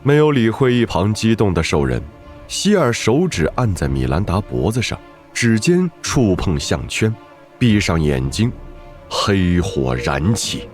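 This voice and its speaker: A man reading scripted narration for an animated fantasy story as its narrator. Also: fairly low pitch, unhurried, soft background music.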